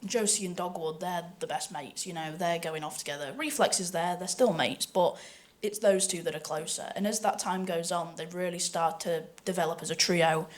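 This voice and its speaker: Woman, slightly low voice, informal, unscripted panel talk. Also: moderate pace, hardly any pauses. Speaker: voice actor on a panel.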